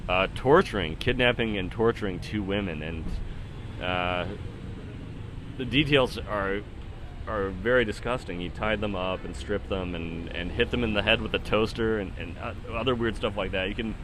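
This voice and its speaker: A man's voice: lowish voice, normal speed, background noise. A man in his thirties giving casual, rambling spoken commentary in a hesitant, musing way that often trails off. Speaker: solo podcast host.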